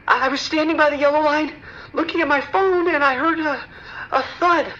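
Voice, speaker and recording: Muffled lo-fi call sound, woman playing a terrified commuter, very high voice, halting, stammering, pleading, audio drama. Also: bright, forceful tone. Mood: panicked. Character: terrified subway commuter calling emergency services.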